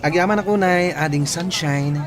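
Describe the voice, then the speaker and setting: A man's voice: a little high, moderate pace, close to an everyday voice. A man playing a friendly, courteous young newcomer in an Ilocano radio drama, with market crowd noise behind him. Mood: polite, friendly.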